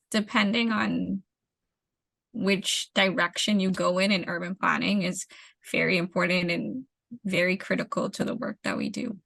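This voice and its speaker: A young adult woman from the Bahamas, an urban planner, giving measured, explanatory answers in an interview and repeating words as she thinks. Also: mid-range voice, vocal fry, a bit bright, no room sound.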